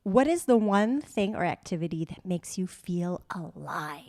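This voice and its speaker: Soft-spoken young woman, dry close sound. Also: lowish voice, lively intonation, no echo. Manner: supportive, reads out questions, quick affirmations. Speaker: podcast co-host. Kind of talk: casual couple's podcast chat.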